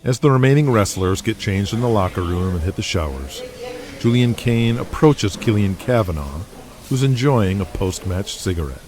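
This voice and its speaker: A man's voice: fairly low pitch, expressive, moderate pace, darkish tone. A man reading scripted dramatic narration in the present tense as the narrator of an audio drama.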